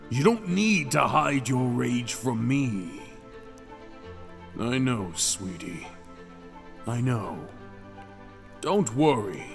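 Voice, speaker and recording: A man's voice: mid-range voice, full character voice. A man voicing a possessive, mad dragon king in an ASMR fantasy roleplay, theatrical and doting, purring endearments and dropping into whispers. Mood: tender menace.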